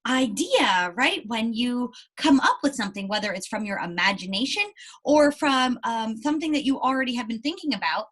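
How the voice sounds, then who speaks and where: A woman's voice: a little high, animated, moderate pace, fairly bright tone, husky. A woman teacher talking to first graders in an explanatory way, often checking in with a questioning "right?". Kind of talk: read-aloud lesson talk to young pupils.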